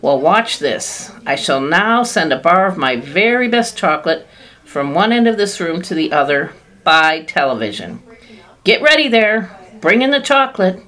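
A woman reading aloud, voicing an excitable, eccentric male chocolate maker who gives loud, commanding exclamations. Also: fairly low pitch, moderate pace. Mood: brisk showman.

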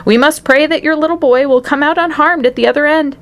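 A woman voicing an eccentric gentleman inventor in a storybook, polite, fussy and excitable. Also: high-pitched, brisk, voice pushed up. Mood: soothing.

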